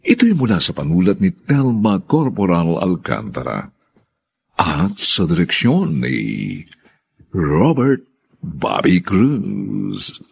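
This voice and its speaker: A man's voice: low-pitched, huge pitch swings, slow pace, creaky, breathy edge. A man with a Filipino accent announcing a radio drama in dramatic scripted narration.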